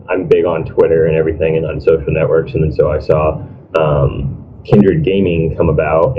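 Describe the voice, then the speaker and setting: A young man chatting casually as an esports manager, telling his story in a hesitant, self-deprecating, colloquial way over an online call. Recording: dull, noisy low-quality voice call.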